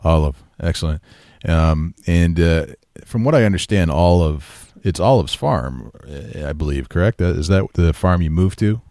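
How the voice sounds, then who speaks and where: He is an eager podcast host who keeps the chat going, with a very deep voice and a dry, close sound. He nods along and agrees often. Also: normal speed, warm tone, dry recording. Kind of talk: casual podcast interview.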